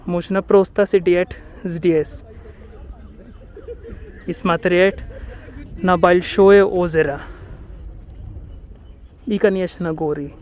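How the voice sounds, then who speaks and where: Slow, careful man. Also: high-pitched, fairly even intonation, darkish tone. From India. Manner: careful, self-correcting, dreamy. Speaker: travel vlogger. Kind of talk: walking tour commentary. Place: outdoor lakeside park.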